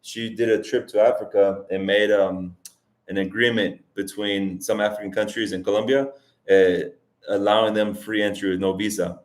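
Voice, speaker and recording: A man's voice: lowish voice, level tone, moderate pace. A man around forty hosting a casual livestream, answering viewer questions and thinking aloud with frequent restarts. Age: 40s. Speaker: livestream host. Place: lives in Colombia as an immigrant.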